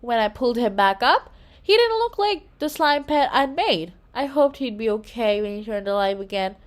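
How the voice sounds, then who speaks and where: High-voiced woman reading a children's story aloud. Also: normal speed. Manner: expressive, with slips and self-corrections. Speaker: children's book reader.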